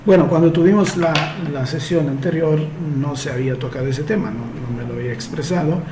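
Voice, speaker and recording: A man's voice: on the high side, a little fast, background noise. Man explaining in an echoey room. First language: Italian. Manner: explanatory, uses homely comparisons. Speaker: host answering listener questions. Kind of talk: advice talk reading a letter and answering.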